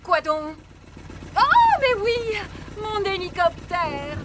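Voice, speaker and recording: A woman's voice: very high voice, big pitch swings, extremely slow, very noisy, heavily acted. A woman voicing a breezy, scatterbrained lady rabbit rescue pilot in a children's cartoon. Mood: cheerful. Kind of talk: animated children's cartoon dialogue.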